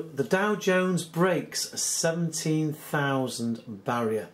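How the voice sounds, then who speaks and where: A hoarse British man hosting a solo commentary, reading out news articles in an earnest, skeptical, measured way. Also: medium pitch, darkish tone. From United Kingdom. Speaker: channel host.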